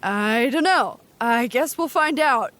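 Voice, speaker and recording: A woman's voice: on the high side, lively intonation, takes it slowly, fairly bright tone, a little hiss or hum. Practical, matter-of-fact young-sounding woman spellcaster. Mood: focused. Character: Copper, practical, patient spellcaster on the road. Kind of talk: audio drama acting, naturalistic.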